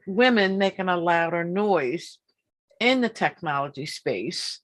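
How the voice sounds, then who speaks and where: A woman in her fifties hosting an interview, talking in a slow, emphatic way and repeating her points. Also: lowish voice, lively intonation. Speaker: podcast host. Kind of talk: casual interview.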